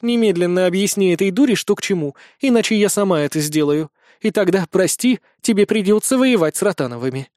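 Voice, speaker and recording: A young noblewoman from a fantasy storybook , as a male narrator plays her. The voice sits high and sounds imperious and formal, persuasive in its phrasing, with a dry recording. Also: animated, fairly quick, a little mellow, no room sound. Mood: annoyed.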